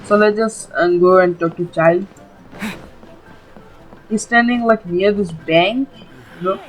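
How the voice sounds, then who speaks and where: A woman's voice: medium pitch, slow pace, poor audio. A woman giving casual, chatty let's play commentary on a video game, leaning on little verbal tags. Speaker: gaming video host. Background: game music.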